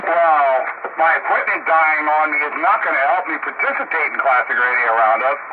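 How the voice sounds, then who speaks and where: Guy with a highish voice chatting on ham radio, super tinny signal, tons of noise. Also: normal speed. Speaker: amateur radio operator. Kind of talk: casual radio chat. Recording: tinny radio transmission, loud static noise.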